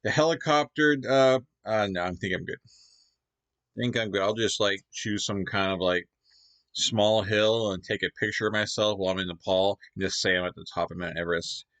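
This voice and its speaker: A man's voice: on the low side, moderate pace, a bit bright, dry recording. A man hosting a reaction video, chatting casually and rambling with many fillers.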